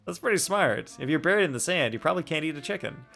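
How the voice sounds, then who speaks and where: A man commentating casually on a game he is streaming. His bright voice swings around expressively as he rambles and playfully questions what he sees. Faint music plays behind him. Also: on the high side, lively intonation, fast, creaky. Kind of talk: casual live gameplay commentary, chatting with viewers.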